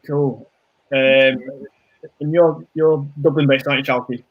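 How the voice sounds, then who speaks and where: A man's voice: medium pitch, fairly even intonation, unhurried, phone-quality sound. A man hosting a live stream, chatting casually and circling around his questions with many fillers. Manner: rambling, full of fillers. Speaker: host.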